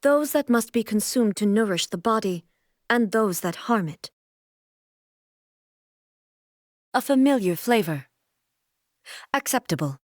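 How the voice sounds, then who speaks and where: An actress voicing a solemn, aloof immortal shogun and swordswoman in a video game, with formal, measured, stately delivery. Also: mid-range voice, expressive, no room sound, clean studio sound, lightly acted. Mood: stern, cold. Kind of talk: video game character voice lines.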